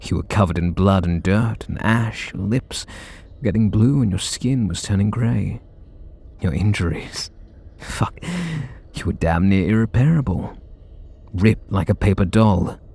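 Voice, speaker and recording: A man voicing a brooding, guarded male super villain in an ASMR audio roleplay, speaking softly and confidingly with blunt swearing. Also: unhurried, exaggerated voice. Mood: somber. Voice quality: low gravelly, husky voice.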